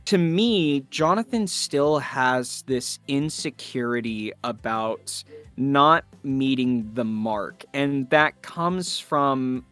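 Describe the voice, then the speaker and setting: A man's voice: medium pitch, a bit bright. A man giving thoughtful, earnest interview answers in a conversational way, stressing his key words. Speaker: voice actor being interviewed.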